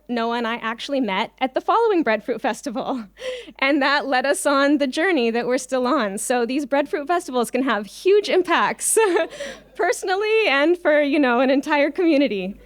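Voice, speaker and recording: Woman, conference speaker, higher-pitched, clean resonant tone, dry sound, informal storytelling, conversational asides. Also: clean tone, no room sound. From Israel, early childhood on a kibbutz. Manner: conversational, frequent ums and asides. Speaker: farmer cooperative leader, invited conference speaker. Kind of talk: informal conference presentation with personal stories.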